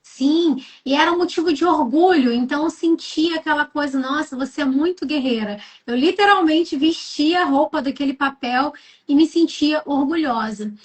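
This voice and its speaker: A woman with a clear Carioca accent speaks as a guest on a live talk. Her voice is high-pitched, and her manner is earnest and reflective as she recounts her own experience at length. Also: normal speed. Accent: Carioca, Rio de Janeiro. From Rio de Janeiro, Brazil. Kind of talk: personal storytelling in conversation.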